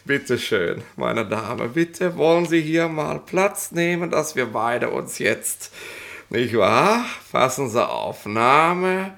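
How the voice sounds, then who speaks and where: Pedantic flustered police inspector, old radio drama, gravelly but sharp voice. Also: male voice, mid-range voice, animated, takes it slowly, exaggerated voice. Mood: officious, fussy. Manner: blustering, fussy, stumbling officialese. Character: officious, easily flustered male police inspector, pedantic bureaucrat. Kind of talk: 1960s German radio play acting.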